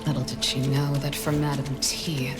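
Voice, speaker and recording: An actress playing a guarded, brooding female assassin with a cool, measured delivery in a game. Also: rumbling low, level tone, over loud music. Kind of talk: game character dialogue and solemn monologue.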